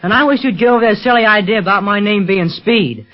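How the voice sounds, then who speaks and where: A man's voice: high-pitched, fast talker, faint music behind, close to an everyday voice. A young male actor playing an eager, evasive boy adventurer in an old-time radio serial. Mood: guarded. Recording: muffled vintage radio recording.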